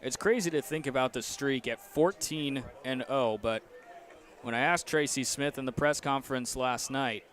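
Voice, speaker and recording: A man's voice: medium pitch, normal speed, fairly bright tone. A young American man doing live play-by-play baseball commentary on a headset mic from a ballpark. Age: young adult.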